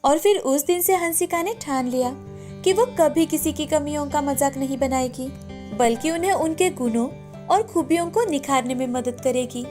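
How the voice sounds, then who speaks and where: A woman's voice: high-pitched, normal speed, music in the background. A woman narrator reading a scripted moral story for children.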